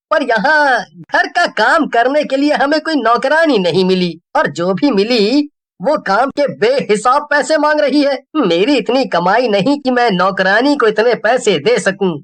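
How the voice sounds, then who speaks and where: A woman plays a scheming young husband in a cartoon tale. The voice is high, earnest and deliberate. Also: lively intonation. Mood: matter-of-fact. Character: deceitful young husband, earnest-sounding suitor, selfish. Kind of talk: cartoon story acting.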